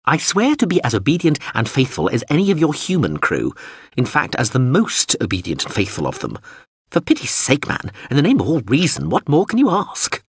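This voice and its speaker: A pompous sentient robot drone, read by a man in an audiobook, fussy and long-winded in its bureaucratic phrasing. It sits higher than the reader's own voice, with lively, indignant swings. The tone is husky and dry. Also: brisk, no room sound. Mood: exasperated. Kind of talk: audiobook character voice.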